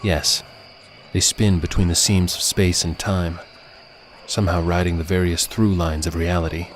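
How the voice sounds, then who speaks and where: A man's voice: sits low, little pitch movement, normal speed, slight background noise, natural voice. A man voicing a solemn, unshakeable time traveler in an audio story, speaking in grave, formal, archaic phrases. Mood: solemn, certain.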